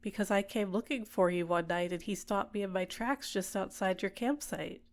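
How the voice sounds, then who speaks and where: A woman voicing a possessive, manipulative villainess in an intimate ASMR roleplay, soft-spoken and coaxing, teasing and sometimes whispering to a captive. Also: mid-range voice, moderate pace, lightly acted. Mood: smug, amused.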